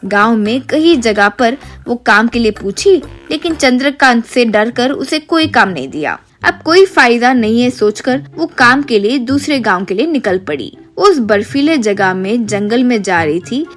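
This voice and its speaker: A woman narrates a children's moral tale. Her voice is fairly high and follows a scripted storytelling delivery, with light music behind it. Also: lively intonation, a little slow, few pauses.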